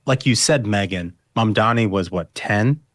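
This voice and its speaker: A man's voice: fairly low pitch, normal speed. An American man in a heated political discussion, emphatic, repeating words and checking for agreement. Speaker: podcast host on a panel.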